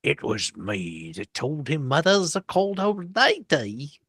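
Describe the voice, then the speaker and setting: A woman's voice: low-pitched, sing-song, slow pace, breathy edge, no room sound, clean studio sound, exaggerated voice. A woman playing a cocky boy leader who acts the stern father in a theatrical storybook reading. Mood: fond.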